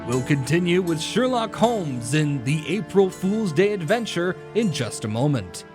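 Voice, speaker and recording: A male announcer reads a scripted message with a lively, varied intonation. His voice is a little high for a man. Music plays clearly behind him. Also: measured pace.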